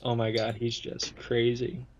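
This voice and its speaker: A man's voice: mid-range voice, completely flat delivery, unhurried, slight background noise. A man hosting a podcast, chatting casually as a fan over a muffled lo-fi connection. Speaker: podcast host.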